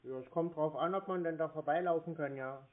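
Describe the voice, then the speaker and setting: A man with a fairly high voice plays a clueless customer in a casual phone call. He stalls and answers with questions. The sound is thin and phone-like. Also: a little fast, slight background noise. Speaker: prankster playing a clueless customer.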